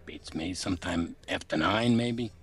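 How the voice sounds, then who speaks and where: An actor playing an uneasy working-class chauffeur in a noir radio play, confiding in colloquial, hesitant speech. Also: male voice, sits low, unhurried, fairly bright tone, breathy edge, full character voice. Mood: uneasy, recounting.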